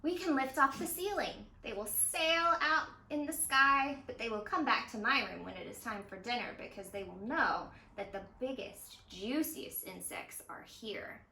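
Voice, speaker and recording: A woman's voice: fairly high pitch, lively intonation, measured pace, creaky, few pauses, bright, forceful tone, full character voice. A woman voicing an eager, imaginative young boy from a picture book, earnestly and confidently explaining plans. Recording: roomy echo of a home interior.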